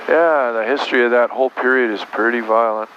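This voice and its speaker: A man with a medium-pitched voice talks as an aircraft captain in a small cockpit. He explains things casually and plainly. The sound is dull and muffled, with a faint engine drone behind him. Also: steady tone, a little fast, a little hiss or hum. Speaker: airline captain.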